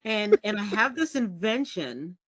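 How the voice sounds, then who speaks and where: A middle-aged woman journalist from the US, telling candid stories as a podcast guest in a low, resonant voice. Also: measured pace, no room sound, lo-fi sound. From United States. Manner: lively, candid and self-deprecating, laughs often. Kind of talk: casual storytelling interview.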